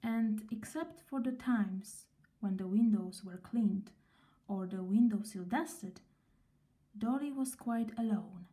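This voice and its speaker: Slow, mellow-voiced woman storyteller. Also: medium pitch. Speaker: storyteller reading aloud for children. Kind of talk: children's story read aloud.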